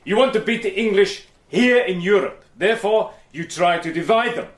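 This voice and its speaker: An actor narrating in the first person and playing a cool, persuasive British agent in a radio drama, calm and measured. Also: male voice, high voice. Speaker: narrator and lead character.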